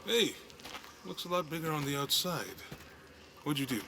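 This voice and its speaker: A man's voice: on the high side, normal speed, background noise, lightly acted. A man playing a loyal, protective adventuring companion in a naturalistic video game cutscene. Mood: curious, cautious.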